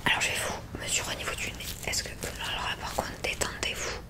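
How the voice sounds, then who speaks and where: A woman whispering gently and breathily close to the mic, giving soothing, reassuring instructions in an ASMR medical exam roleplay. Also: fairly quick. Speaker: ASMR creator playing an examiner in a roleplay. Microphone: close ASMR microphone.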